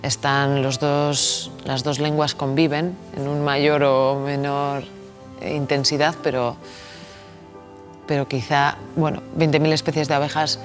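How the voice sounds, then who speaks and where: Basque woman director musing out loud, stops and repeats words, pretty even pitch. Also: a little slow, soft background music. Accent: Basque Spanish. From Basque Country, Spain. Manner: thoughtful, hesitant, repeats words while searching for them. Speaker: film director. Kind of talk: reflective interview answers.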